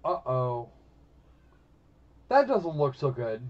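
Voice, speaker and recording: A man hosting a casual game live stream, talking in a rambling, halting way and repeating himself as he goes. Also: mid-range voice, very animated, darkish tone. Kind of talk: casual live-stream commentary while setting up a visual novel. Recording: dull lo-fi mic with music behind. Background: background music.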